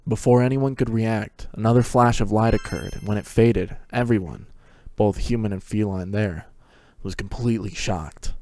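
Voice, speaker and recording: A man's voice: on the low side, moderate pace, darkish tone, dry recording. A man giving a scripted dramatic reading of a fantasy story as narrator. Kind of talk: dramatic reading of fan fiction.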